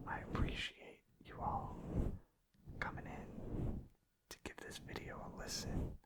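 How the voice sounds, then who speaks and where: Man, breathy whisper throughout, casual ASMR ramble, gentle, hesitant, self-effacing, close-miked. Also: poor audio. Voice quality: soft, breathy, unvoiced whisper. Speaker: ASMR video creator and streamer.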